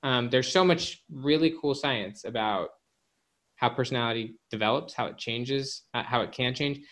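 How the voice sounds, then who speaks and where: A man's voice: medium pitch, normal speed, fairly bright tone. Man explaining ideas as a podcast guest. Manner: explanatory, addresses the listener directly. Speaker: guest, author and organizational psychologist. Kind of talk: casual podcast interview, explanatory talk.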